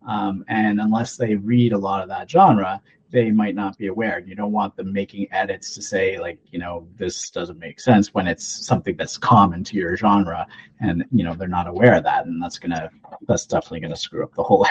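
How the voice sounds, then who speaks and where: A man's voice: fairly low pitch, darkish tone, slightly rough, highs cut off. A man hosting a podcast, chatting casually with his guest and agreeing along. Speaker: podcast host. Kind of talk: conversational interview.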